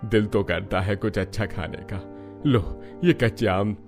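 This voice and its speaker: Gentle older family patriarch, acted by a man. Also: lowish voice, a little slow, soft background music. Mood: gently chiding. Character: gentle older father-in-law of a poor family. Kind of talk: Hindi cartoon story voice acting.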